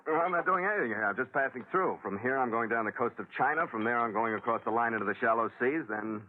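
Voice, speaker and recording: A man's voice: medium pitch, animated, fast, a little hiss or hum, close to an everyday voice. A man playing a laconic, wry American sea captain in 1940s radio adventure style, terse and dry. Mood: guarded, dry. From United States. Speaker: lead actor and narrator. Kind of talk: 1940s radio adventure acting, first-person narration. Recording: tinny 1940s radio recording with music.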